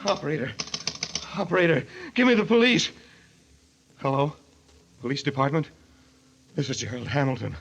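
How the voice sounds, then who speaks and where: An actor playing an agitated, desperate husband in an old-time radio mystery. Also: male voice, fairly high pitch, big pitch swings, moderate pace, vocal fry, pauses often, a little hiss or hum. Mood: shaken. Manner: agitated, pleading, indignant. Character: panicky, desperate husband accused of killing his wife.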